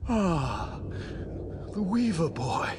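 An actor playing a dying, resigned guild master in an adventure game, speaking solemnly and haltingly in formal words. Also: male voice, fairly high pitch, very animated, noisy background, heavily acted. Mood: grave, weary. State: weak, dying, coughing. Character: dying elderly glassmaker master, noble and resigned. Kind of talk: 1990s adventure game voice acting.

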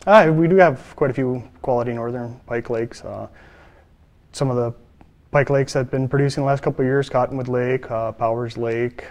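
A man's voice: mid-range voice, normal speed, a little mellow. Male biologist in his thirties, ordinary mid-range voice, plain studio answers full of uhs. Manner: plain, matter-of-fact, with many filler uhs. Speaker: fisheries biologist. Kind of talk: interview answers. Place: studio, seated.

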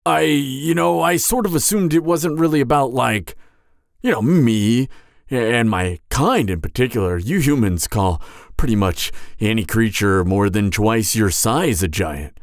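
A man's voice: mid-range voice, expressive, measured pace, gravelly, no echo, clean studio sound. A man voicing a sheepish, chatty, friendly giant in an ASMR roleplay, hesitant, awkward and rambling as he apologises. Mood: chatty, explaining.

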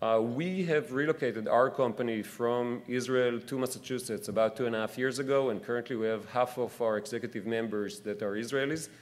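A man's voice: mid-range voice, a little fast, hardly any pauses. Hesitant man speaking at a podium. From New Jersey. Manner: hesitant, frequent uhs and restarts. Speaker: company executive. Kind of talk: business speech at a podium. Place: event hall, indoors. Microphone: podium microphone.

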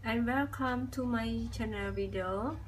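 A woman's voice: fairly high pitch, slight background noise, low-quality recording. A young woman from Cambodia with a Khmer accent, teaching her language at a whiteboard and repeating each sound over and over. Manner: deliberate, repeats sounds many times. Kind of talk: slow whiteboard language lesson. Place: indoors at a whiteboard.

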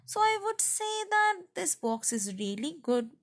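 A woman's voice: very high voice, very animated, a little slow. A woman with an Indian accent giving a casual food review as a vlogger's voice-over.

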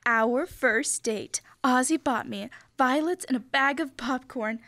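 This is a teenage girl acting a patient, skeptical wife in an old-time radio comedy. Her voice sits fairly high, and she takes her lines slowly and dryly. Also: a bit bright, no room sound, clean studio sound. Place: school library room, stage microphone.

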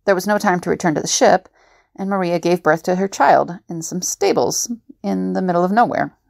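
A woman's voice: on the low side, a little fast, gravelly, a little mellow, dry recording, studio quality. A woman playing a matter-of-fact fugitive recounting an adventure in an audio drama.

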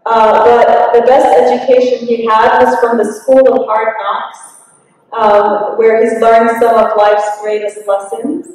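Woman moderator, measured and earnest, slightly high voice, echoey conference hall. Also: flat delivery, darkish tone. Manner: measured, earnest, with frequent ums. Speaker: panel moderator. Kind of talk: introducing a panel. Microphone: podium microphone. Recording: echoey hall, distant mic.

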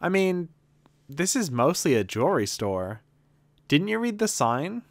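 A woman's voice: sits low, big pitch swings, measured pace, no echo, clean studio sound, full character voice. A woman voicing an awkward but boastful young goblin shop worker in a fantasy audio comedy, with an emphatic delivery. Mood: awkward evasion.

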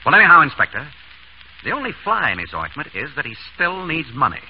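A fast-talking, confident doctor sleuth in a 1930s radio mystery. He is glib and commanding, with swooping intonation. The recording is muffled and noisy. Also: a little high, huge pitch swings. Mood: brisk explaining. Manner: brisk, glib, authoritative. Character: quick-witted, confident doctor playing amateur detective.

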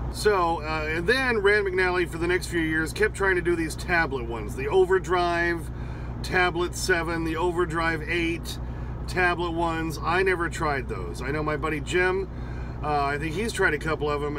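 A man's voice: on the high side, background noise. An older man, a truck driver, talking casually and bluntly to camera from inside his truck cab, drifting into folksy tangents.

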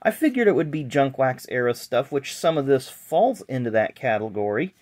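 Middle-aged man, rambling hobbyist vlogger, relaxed and chatty, camera mic at a table indoors. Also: mid-range voice, normal speed. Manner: chatty, rambling, self-deprecating asides. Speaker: sports card collector vlogger. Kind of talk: casual unboxing chat. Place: indoor card room at a table. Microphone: camera mic, hands in frame.

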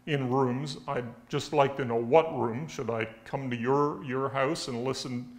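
A man's voice: mid-range voice, steady tone, measured pace. Middle-aged man explaining emphatically. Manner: emphatic, conversational, uses rhetorical questions. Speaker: loudspeaker company presenter. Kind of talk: explanatory talk to camera. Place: indoor dark studio room.

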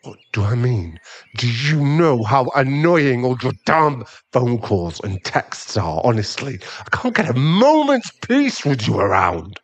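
A man acting a slow-talking, exasperated boyfriend. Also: medium pitch, big pitch swings. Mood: angry, exasperated. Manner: halting, sighing, exasperated. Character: irritable, weary sugar daddy boyfriend wanting space. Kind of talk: ASMR boyfriend roleplay audio drama.

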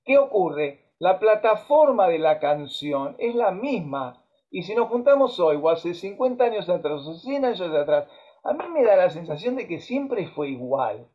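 An animated Argentine man in his fifties talks through a webcam in his home music room, telling stories in a high, light voice with plenty of fillers and restarts. The call audio sounds dull and lo-fi. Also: unhurried. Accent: Argentine Spanish. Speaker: musician and host. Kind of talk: video-call conversation. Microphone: webcam on a video call.

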